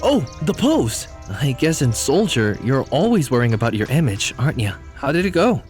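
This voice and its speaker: An actor playing an excitable, chatty rank-and-file trooper in a video game. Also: male voice, mid-range voice, moderate pace, soft background music, close to an everyday voice. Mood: casual, playful.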